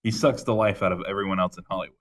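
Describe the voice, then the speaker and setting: A man's voice: low-pitched, fairly even intonation, very fast, fairly bright tone. A man co-hosting a podcast in casual chat, laid-back and teasing, who laughs easily. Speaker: podcast co-host.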